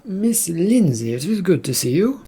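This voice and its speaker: A reader voicing a smug male colleague who talks in a smooth, insinuating way. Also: mid-range voice, big pitch swings, normal speed, mellow, pitched above the performer's own voice, exaggerated voice. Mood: sly.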